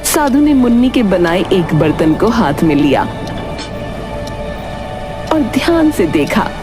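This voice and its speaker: A woman's voice: mid-range voice, very animated, slow. A woman narrating a scripted children's moral story as a storyteller. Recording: music behind narration.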